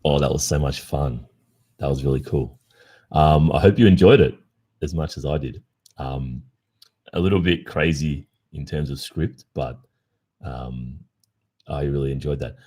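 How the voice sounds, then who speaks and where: Deep-voiced Australian man chatting on livestream. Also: a little slow, pauses often, mellow, breathy edge. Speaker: ASMR roleplay streamer. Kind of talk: casual livestream chat, reading viewer comments.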